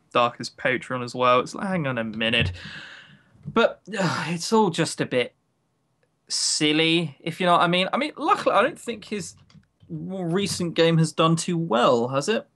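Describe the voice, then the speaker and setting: A man's voice: a little high, lively intonation, normal speed, fairly bright tone. A man co-hosting a podcast in casual, opinionated chat, grumbling, sarcastic and given to swearing. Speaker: podcast co-host.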